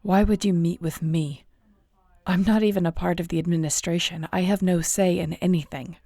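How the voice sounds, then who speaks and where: A woman playing a surly, protective werewolf alpha in an audio roleplay, with a gruff, blunt, dry manner. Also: on the low side, steady tone, normal speed, dark tone, no room sound. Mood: guarded, hostile. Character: surly young female werewolf alpha, guarded, fiercely protective.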